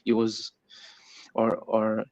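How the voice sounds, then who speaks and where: A man's voice: on the low side, completely flat delivery, takes it slowly, darkish tone. A man from Portugal with a Portuguese accent, speaking in a casual discussion and often repeating words as he searches for phrasing. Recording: muffled, echoey voice-chat audio.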